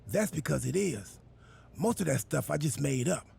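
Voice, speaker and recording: A high, heavily creaky male voice for a scatterbrained wrestler who fancies himself in charge. He rambles earnestly and takes everything literally, with a husky rasp, in the manner of a game cutscene. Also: animated, moderate pace, darkish tone, exaggerated voice. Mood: pitching, upbeat. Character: goofy, scatterbrained, self-important male wrestler playing boss. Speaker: wrestler acting as general manager. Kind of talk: video game cutscene acting.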